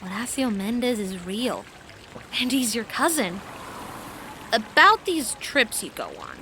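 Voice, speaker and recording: A woman's voice: on the high side, very animated, measured pace, background noise. A woman playing a curious, easily amazed young friend in an audio drama who asks question after question.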